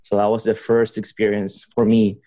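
A man's voice: lowish voice, monotone, moderate pace, mellow, no echo. A young man from Honduras being interviewed on a podcast, chatting casually with lots of fillers and restarts. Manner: rambling, many fillers and restarts, laughs often. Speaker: podcast guest, PhD student.

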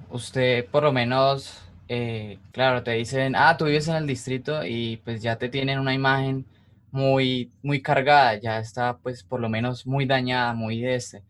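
A man with a Colombian accent from Cali, hosting and narrating an informal podcast. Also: mid-range voice. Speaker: podcast host.

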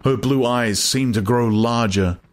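A man's voice: medium pitch, a little slow. A man reading literary fiction as a scripted, dramatic audiobook narration, with noise behind him.

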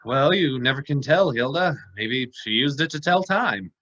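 A man's voice: medium pitch, expressive, moderate pace, a bit bright. Dogged hard-boiled sleuth, clipped and wry, radio drama, lo-fi sound, male actor. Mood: wry, curious. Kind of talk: vintage radio drama acting.

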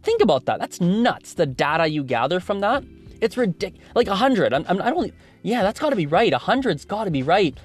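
A man's voice: sits high, very animated, a little fast, soft background music. A man in his twenties from Canada, hosting a solo podcast and thinking aloud, rambling with many restarts. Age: 20s. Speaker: podcast host.

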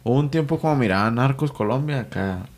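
A man's voice: mid-range voice, animated, brisk. A man with a Mexican Spanish accent, joking and teasing his friends in a casual football chat.

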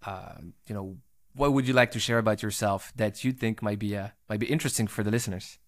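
A man interviewing a podcast guest, asking questions in a halting, casual way full of fillers. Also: fairly low pitch, fairly even intonation. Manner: hesitant, halting phrasing with many fillers.

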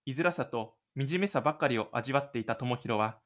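A man with a gentle, chatty manner, speaking as the host and narrator of his own audio drama. He talks in an unhurried, conversational way, as if to listeners close by, at a medium pitch. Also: expressive.

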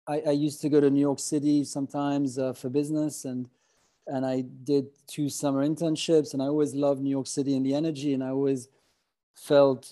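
A man's voice: mid-range voice, mellow. A middle-aged man from French-speaking Switzerland telling his own story as an interview guest, in a hesitant, reflective, conversational way. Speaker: guest, company founder.

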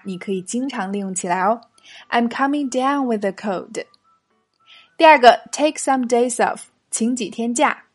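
A woman hosting a short language lesson in a clear, upbeat teaching voice, repeating the key phrases. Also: on the high side. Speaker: English teacher and show host. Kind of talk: scripted lesson narration. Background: faint background music.

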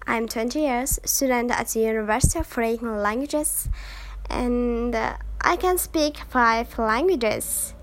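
A woman in her twenties from Kazakhstan, a native Kazakh speaker with a Kazakh accent, hosting a casual solo podcast and pausing often to search for words. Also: a little high, rough recording. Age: 20s. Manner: halting, searching for words with many fillers. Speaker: podcast host.

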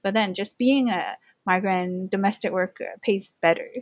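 A woman's voice: medium pitch, normal speed, no room sound, highs cut off. Young woman, earnest guest, lots of "like", dry close recording. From Hong Kong. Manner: earnest, many fillers like "you know" and "like". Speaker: guest, community farm organizer. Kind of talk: casual interview conversation.

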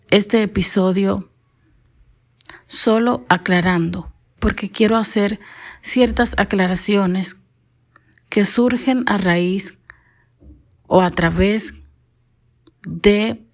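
A woman's voice: mid-range voice, very slow, pauses often. A woman from the Dominican Republic hosting her own podcast, talking alone to her listeners in careful, formal speech shaped by training in broadcasting. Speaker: podcast host. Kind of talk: solo podcast monologue.

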